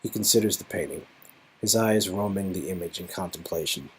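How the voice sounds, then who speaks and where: A man's voice: low-pitched, brisk, husky, rough recording. A man co-hosting a read-aloud of a story game, moving between scripted narration and casual reaction chat. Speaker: channel co-host, performer.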